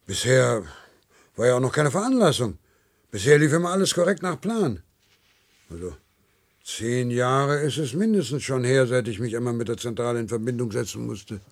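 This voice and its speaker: Husky, earnest, dutiful citizen. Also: male voice, medium pitch, animated, moderate pace. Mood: hesitant, pensive. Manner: hesitant, stammering, officious. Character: earnest, dutiful, gullible male spaceship-steering citizen. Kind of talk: German science-fiction radio play acting.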